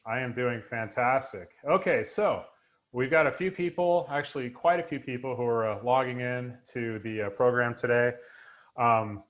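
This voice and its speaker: A man's voice: mid-range voice, normal speed, fairly bright tone, dull audio. A man in his forties hosting a live webinar over a video call, upbeat and full of fillers. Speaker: host. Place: home room indoors, video call.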